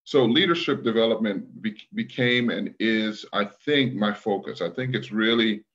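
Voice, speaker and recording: Guest, middle-aged man, very low voice, measured and reflective, muffled call audio. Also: fairly bright tone. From Guyana, raised in Brooklyn. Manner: thoughtful, measured, with restarts. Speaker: guest, leadership trainer. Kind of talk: conversational interview. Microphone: video call.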